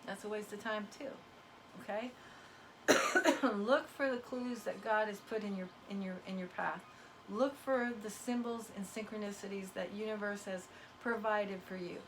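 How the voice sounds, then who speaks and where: A woman's voice: mid-range voice, fairly even intonation, normal speed, a bit bright, slight background noise. A woman who is losing her voice, giving a casual, emphatic spiritual pep talk as a card reader, folksy and preachy in tone. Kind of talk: casual motivational talk to viewers.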